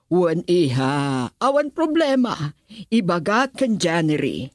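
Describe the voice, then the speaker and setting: An actress playing a doting, scheming middle-aged auntie in a radio drama, with a husky voice. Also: female voice, fairly low pitch, sing-song, slow, no room sound, studio quality. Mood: indulgent.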